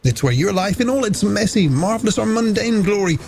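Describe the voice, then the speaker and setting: Animated male late-night radio host. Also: sits high, a little fast, vocal fry. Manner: chatty, playful, scripted alliterative patter. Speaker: radio presenter. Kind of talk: late-night music radio show hosting. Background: music.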